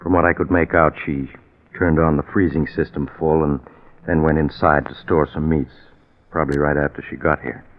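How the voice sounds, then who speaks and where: An actor playing a concerned, steadying friend, earnest and admonishing in a deliberate 1940s radio drama style. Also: male voice, rumbling low, fairly even intonation, slight background noise. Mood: grave. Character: concerned, steadying male friend of a doomed man. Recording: very muffled old 1940s radio recording.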